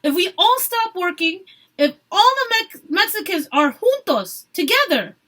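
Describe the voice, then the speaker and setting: A woman voicing an angry young female agitator in a read-aloud story, shouting defiant oratory at a crowd. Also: very high-pitched, very animated, measured pace, pitched above the performer's own voice, heavily acted. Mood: fervent, urging.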